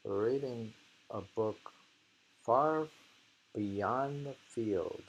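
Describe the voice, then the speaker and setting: A man reading poems aloud and chatting about them casually, in a musing, deliberate manner. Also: medium pitch, highs cut off. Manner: slow, musing, often repeats lines deliberately. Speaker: host reading a poetry book aloud.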